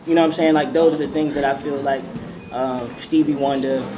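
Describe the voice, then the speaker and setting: A man with a soft, youthful voice talking casually on a panel as a songwriter, over background noise. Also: mid-range voice, steady tone, a little fast.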